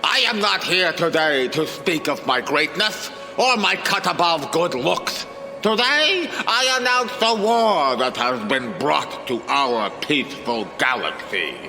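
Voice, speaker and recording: A man's voice: a little high, lively intonation, a little slow, bright, forceful tone, long reverb tail, soft background music, full character voice, low-quality recording. An actor playing a pompous, vain, vengeful alien king in a sci-fi musical audio drama, making grandiose speeches.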